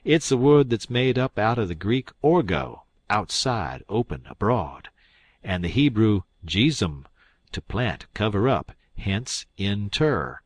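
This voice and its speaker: Man playing a pompous old con man, low-voiced. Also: very animated, slow pace, no room sound, exaggerated voice. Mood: pompous lecturing. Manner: pompous, pseudo-learned, folksy grammar. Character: smug, long-winded old con man posing as English.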